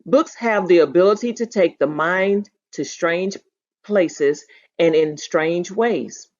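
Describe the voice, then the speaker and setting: A woman's voice: lowish voice, slow pace, darkish tone. A woman voicing a courtly older man who loves books in a genteel, savoring manner for a storybook, in a dull lo-fi recording. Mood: gently delighted. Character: courtly older man, gentle book lover. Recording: dull lo-fi sound.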